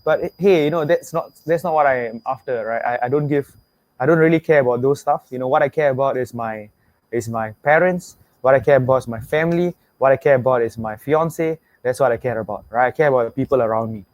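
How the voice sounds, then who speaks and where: A man's voice: medium pitch, quick pace, dry recording, poor audio. A young Singaporean man hosting an online webinar, talking with energy, repeating himself and asking viewers to type replies. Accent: Singaporean English. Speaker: webinar host. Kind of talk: motivational webinar pitch.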